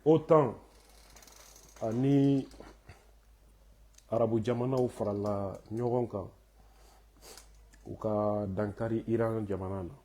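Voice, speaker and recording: A man, hoarse from a cold, hosting a live talk in French and Bambara with many hesitant fillers. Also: fairly low pitch, slow pace, long pauses, dark tone. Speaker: host of a live online talk.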